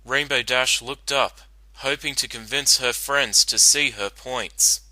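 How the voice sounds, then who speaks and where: A man gives a dramatic, scripted story narration. His voice is very bright and forceful at a medium pitch, recorded dry and close. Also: takes it slowly, dry recording, low-quality recording.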